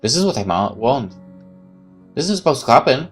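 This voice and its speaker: A man's voice: mid-range voice, sing-song, normal speed, slightly rough, soft background music. A man hosting a let's play, reading game text aloud expressively and adding casual reactions.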